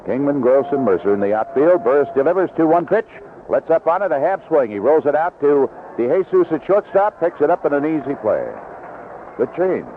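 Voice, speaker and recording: A man's voice: on the high side, expressive, a little fast. Tinny old-radio baseball play-by-play man. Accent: North American. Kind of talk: live radio play-by-play commentary. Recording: tinny, noisy vintage AM radio broadcast.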